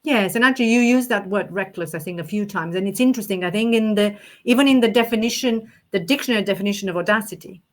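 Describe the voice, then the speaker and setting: A woman's voice: medium pitch, quick pace, few pauses. Reflective woman from Romania. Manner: thoughtful, with frequent restarts and rephrasing. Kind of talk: reflective conversational chat.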